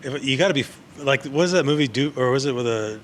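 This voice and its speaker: A man's voice: mid-range voice, fast, a little hiss or hum. A man in his forties, a guest on a casual podcast, rambling with many restarts. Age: 40s. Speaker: podcast guest, actor and bar owner. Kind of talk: casual chat. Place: bar.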